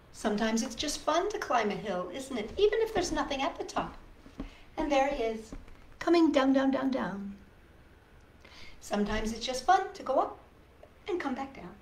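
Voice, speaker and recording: A woman with a high voice hosts storytime for little children in a roomy, echoing space. She is playful and sing-song, inviting young listeners in with questions. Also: a little hiss or hum. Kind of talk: reading picture books aloud and chatting to young children. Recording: roomy echo.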